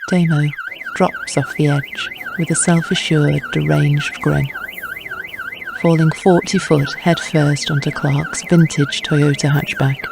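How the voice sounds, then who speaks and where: A man's voice: a little high, gravelly, background noise. A man reading scripted narration for a crime audio drama.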